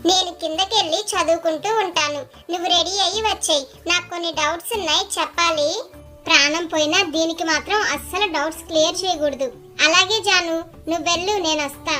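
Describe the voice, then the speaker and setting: Very high, bright chatty schoolgirl. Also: steady tone, fairly quick, faint music behind. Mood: outwardly friendly, then sly, scheming. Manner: childlike, gossipy. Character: chatty, competitive hostel schoolgirls, scheming friends. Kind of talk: acted cartoon story dialogue.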